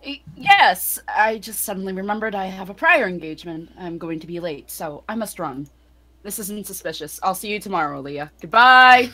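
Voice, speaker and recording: A woman voicing an evasive, flustered visual novel character who makes halting, hurried excuses. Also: medium pitch, animated, bright, forceful tone. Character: evasive, flustered young guild acquaintance named Lyle. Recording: noticeable background noise with clicks.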